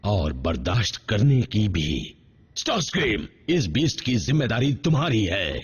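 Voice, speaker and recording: A menacing cartoon robot warlord, low and extremely rough in the throat. He is imperious and commanding, heard through slightly dull sound. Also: sing-song, measured pace, full character voice. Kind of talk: dubbed cartoon acting. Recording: dull band-limited sound.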